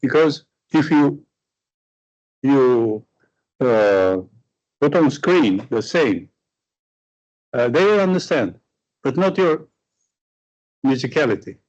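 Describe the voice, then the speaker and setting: Slow-speaking Romanian-accented man. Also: medium pitch, animated, darkish tone, highs cut off. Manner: halting, searching for words. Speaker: visiting lecturer. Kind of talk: answering a question after a lecture.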